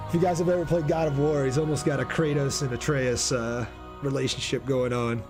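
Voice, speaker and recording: A man's voice: mid-range voice, steady tone, fairly quick. A young man giving casual, enthusiastic livestream commentary on a game and chatting informally with his viewers. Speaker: game streamer. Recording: game music behind the voice. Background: game music.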